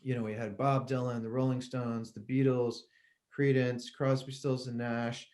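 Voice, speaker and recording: A man's voice: medium pitch, flat delivery, moderate pace, a little mellow. A middle-aged man from Connecticut, a musician guest talking casually in an interview, rambling and laughing easily.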